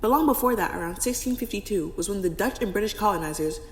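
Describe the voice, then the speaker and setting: A woman's voice: medium pitch, fast talker, slight background noise. A woman giving scripted educational narration, recorded in a reverberant room. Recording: echoey room with long reverb.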